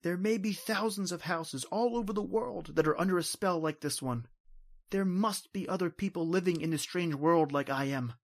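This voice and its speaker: An actor playing a tormented, invisible male spirit in an old-time radio horror play, with dramatic, anguished, halting delivery. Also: sits high. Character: tormented invisible male spirit wandering his haunted house.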